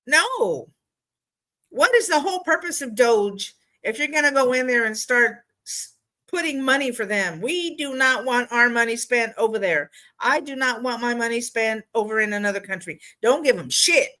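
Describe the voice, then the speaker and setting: A woman's voice: fairly high pitch, sharp tone. A middle-aged woman hosts her own political video, ranting bluntly with lots of fillers and the odd curse word. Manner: exasperated, blunt, swears, many fillers. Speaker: political vlog host. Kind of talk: casual opinion rant.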